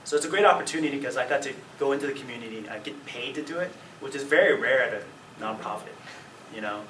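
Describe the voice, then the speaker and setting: A man's voice: mid-range voice, expressive, fast, a bit bright. A man speaking as a panelist in an upbeat, plainspoken way. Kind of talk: casual panel talk. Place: conference hall. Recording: echoey hall from far off, noisy.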